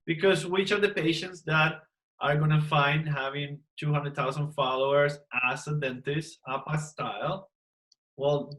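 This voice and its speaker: A man speaking a little higher than most men, giving advice on a panel as a dentist and teacher. He talks emphatically, leans on rhetorical questions and often restarts with repeated words. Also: pushed and bright.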